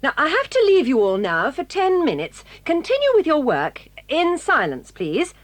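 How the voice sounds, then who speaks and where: An actress with a British accent playing a stern, crisp schoolmistress with dry sarcasm in an audio drama. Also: female voice, high-pitched, wildly swooping pitch, normal speed, no room sound. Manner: crisp, commanding, sardonic.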